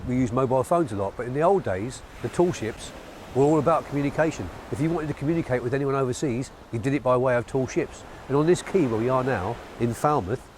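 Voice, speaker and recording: A man's voice: mid-range voice, quick pace, a little mellow, slight background noise. Man from Cornwall speaking outdoors. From Cornwall, England. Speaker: writer. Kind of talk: interview piece to camera. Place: outdoors on a harbour quay. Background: faint outdoor ambience.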